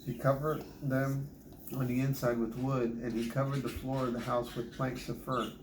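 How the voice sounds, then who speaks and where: A man's voice: mid-range voice, little pitch movement, normal speed. A man reading scripture aloud as a Bible reader, sometimes stumbling and rereading words. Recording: noisy, echoey home recording with clicks.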